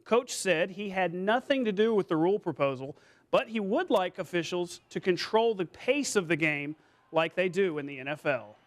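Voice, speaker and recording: High-voiced American man, punchy TV sports anchor. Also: expressive, normal speed. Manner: playful, colloquial, punchy delivery. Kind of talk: scripted sportscast with highlight commentary.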